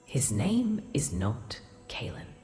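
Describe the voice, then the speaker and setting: A ghostly ancient goddess for a fantasy audio drama, solemn and imperious. Her voice is strikingly deep and unhurried, washed in long cavernous reverb. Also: wildly swooping pitch, dark tone, full character voice. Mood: calm, ominous. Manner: solemn, formal, deliberate, regal. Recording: cavernous reverb, faint music.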